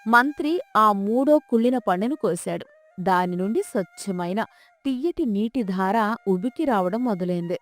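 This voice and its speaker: A woman's voice: medium pitch, lively intonation, moderate pace, faint music behind. A woman narrating a scripted folk tale as its storyteller.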